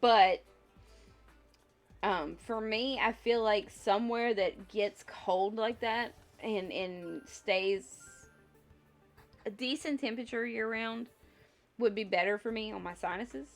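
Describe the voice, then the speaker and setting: A woman's voice: on the high side, slow pace. A woman hosting a casual livestream, rambling and thinking aloud as she talks to viewers, sounding slightly congested. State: slightly congested with a lingering cough. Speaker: livestream host. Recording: music behind the voice. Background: music.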